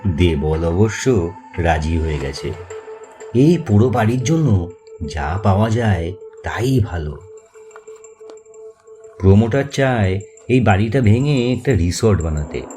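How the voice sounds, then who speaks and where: A man narrating a scripted ghost story as host, in a measured, deliberate way with suspenseful pauses. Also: fairly low pitch, animated, dark tone. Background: faint music.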